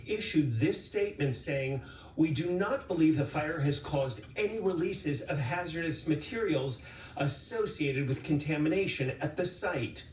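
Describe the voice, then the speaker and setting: Man, investigative news reporter, fairly high voice, deliberate emphatic scripted narration, distant echoey recording. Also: lively intonation. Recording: roomy, distant sound with faint noise.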